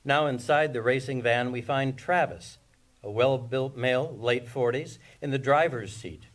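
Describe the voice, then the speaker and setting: A man reads screenplay directions as the narrator of a table read. His pitch is average, and the delivery is scripted narration. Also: unhurried.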